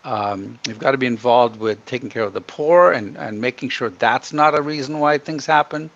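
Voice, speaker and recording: A middle-aged man from Ghana giving earnest, opinionated interview answers, emphatic and halting as he restarts his sentences. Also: medium pitch, creaky, a bit bright. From Ghana, grown up in Africa and the Middle East. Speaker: podcast guest. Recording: dull, muffled lo-fi connection.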